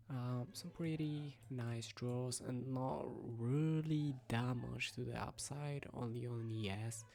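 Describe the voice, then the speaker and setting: A teenage young man speaks in a dark, mellow voice with heavy fry, roughened by recent sickness. He gives a slow, casual recap of his trades. He hesitates often, thinking aloud and letting phrases fade toward a whisper. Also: medium pitch. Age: teenager, about 17. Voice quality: rough, breathy, creaky. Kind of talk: casual screen-recap commentary.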